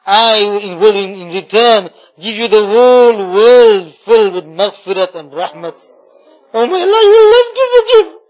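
A man leading an impassioned supplication, pleading tearfully and repeating his phrases with deep emotion. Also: big pitch swings, measured pace, lo-fi sound. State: tearful, crying. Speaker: prayer leader. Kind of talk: impassioned supplication prayer, public. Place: mosque.

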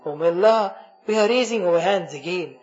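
A man's voice: sits high, fairly quick, a little mellow, dull audio. A man leading a congregation in an emotional supplication after night prayers in a mosque, repeating invocations in a pleading voice that moves between chanting and speaking. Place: mosque during a night gathering.

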